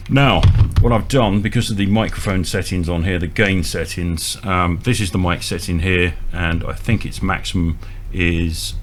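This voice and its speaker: A man presenting an informal, hands-on equipment demonstration, explaining step by step with a hesitant delivery. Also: low voice. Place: indoors at a small equipment bench. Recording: audible electrical hum and noise.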